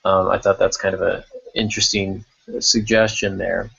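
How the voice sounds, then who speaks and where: Low, creaky-voiced man on a podcast. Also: level tone, moderate pace, fairly bright tone, highs cut off. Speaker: podcast host discussing writing craft. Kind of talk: casual unscripted discussion.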